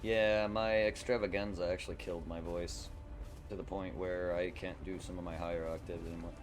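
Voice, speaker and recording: A man streaming a game, chatting casually in a quiet voice, rambling and correcting himself as he goes. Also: low-pitched, little pitch movement, fairly quick, music in the background. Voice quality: quiet normal voice. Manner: rambling, self-correcting, laughs easily. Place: home streaming setup.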